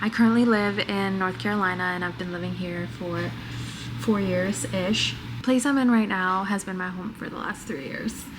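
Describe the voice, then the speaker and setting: A woman in her twenties from New York with a very soft voice, talking reflectively to the camera in a casual vlog at home. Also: medium pitch, normal speed, noisy background. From New York, United States. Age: 20s. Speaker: vlogger.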